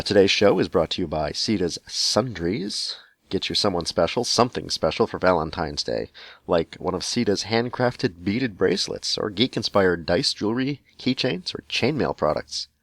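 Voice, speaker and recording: A man's voice: low voice, creaky, no room sound. A man hosting a solo podcast in a casual, sarcastic style, speaking close to the microphone. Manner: sarcastic, sweary. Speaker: podcast host. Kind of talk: solo podcast talk, casual and sardonic, reading listener mail.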